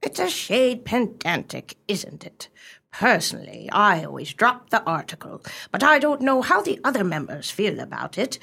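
A woman's voice: medium pitch, sing-song, moderate pace, gravelly, sharp tone, husky, exaggerated voice. An actress playing a sly, nonchalant society woman in a radio play, speaking in an airy, genteel, mock-earnest manner. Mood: blithe, teasing. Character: sly, nonchalant society woman, blithely bluffing her book club.

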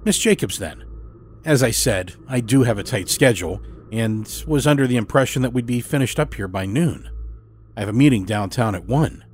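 A man's voice: mid-range voice, lively intonation, normal speed, a little hiss or hum, close to an everyday voice. Man acting an impatient, formal middle-aged patient. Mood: polite impatience. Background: music score.

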